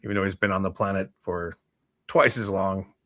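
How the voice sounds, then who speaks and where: A man's voice: sits low, steady tone, moderate pace, sharp tone, dry recording, studio quality. A man co-hosting a talk show in casual, rambling chat, hesitant and often repeating his words. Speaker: co-host of a geek culture morning chat show.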